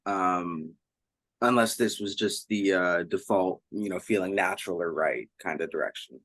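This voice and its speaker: A man with a fairly low voice acts as interviewer in a casual conversation, reading out questions from fans. The sound is dry, with very little room on it. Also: normal speed, fairly bright tone, no room sound.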